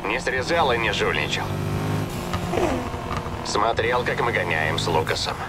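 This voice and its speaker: Animated cocky male street racer. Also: on the low side, unhurried. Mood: chatty, reminiscing. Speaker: street racing character. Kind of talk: Russian game dub dialogue. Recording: car engine noise behind.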